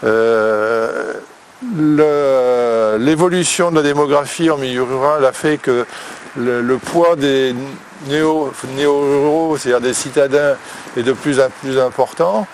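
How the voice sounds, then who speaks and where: A man speaking as a local elected official in an interview, halting and searching for his words. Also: mid-range voice, slight background noise. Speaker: local elected official, vice-president of a rural district council. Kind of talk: spoken interview testimony.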